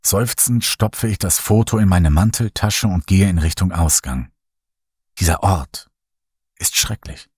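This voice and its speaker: A man narrating a horror story in first person, slow and brooding, over faint background music. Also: deep voice, darkish tone, slightly rough, dry recording, clean studio sound. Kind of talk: scripted horror audiobook narration. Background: faint music bed.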